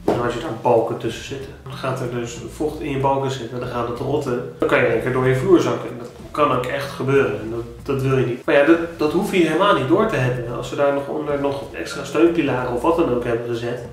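A man in his thirties with a Dutch accent, giving home-buying tips in a casual, animated vlog with many restarts. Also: mid-range voice, fast, few pauses. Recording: very echoey room, a bit distant.

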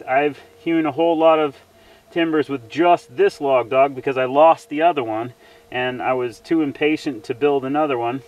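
Higher-voiced man giving practical instruction. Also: highs cut off. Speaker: homesteader presenting his channel. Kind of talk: casual instructional demonstration. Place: outdoors in a snowy farm yard.

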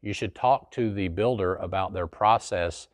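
Host type: middle-aged man, lower voice, dry studio sound, relaxed explainer. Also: moderate pace, no echo. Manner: conversational, folksy, answers a viewer question. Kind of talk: casual explanatory talk to camera. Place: small studio in front of a plain wall.

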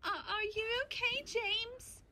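A woman's voice: extremely high pitch, slow, over a noisy crowd. A woman voicing a friendly, bubbly female express steam engine in a cartoon dub. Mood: alarmed. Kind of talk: cartoon fan dub acting. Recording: loud music behind the voice.

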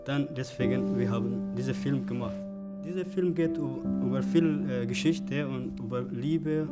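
A young man directing films, not a native speaker, answering interview questions in halting, searching speech full of fillers, seated on a dark studio set. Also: mid-range voice. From Africa. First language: not German. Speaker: film director and writer.

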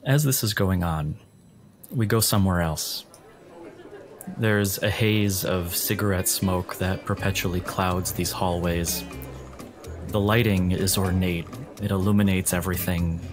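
A man working as a game master, giving atmospheric narration for a tabletop game over soft guitar music. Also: low voice, steady tone, measured pace.

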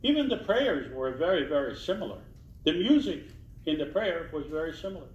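Man, 60s, panel speaker, emphatic and deliberate; distant handheld-mic sound, dull and lo-fi. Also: on the high side, sing-song, roomy sound. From Israel. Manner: earnest, emphatic, repeats key phrases. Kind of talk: public talk at a community panel. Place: small meeting room indoors. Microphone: handheld mic, filmed from a distance.

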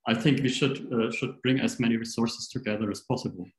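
A man's voice: medium pitch, fairly quick, echoey room, a little hiss or hum. A man presenting a research project in an online seminar, hesitant with many fillers, over a video call. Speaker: academic presenter.

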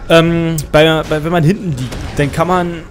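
A man's voice: a little high, fairly even intonation, moderate pace, slight background noise. A young man with a German accent casting a match live, thinking aloud and correcting himself as he goes. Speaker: game caster. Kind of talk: casual game commentary. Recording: music under the voice.

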